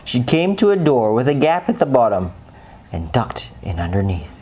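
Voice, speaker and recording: A woman narrating a children's bedtime story expressively, with dramatic pauses and deliberate emphasis. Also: rumbling low, big pitch swings, darkish tone, husky.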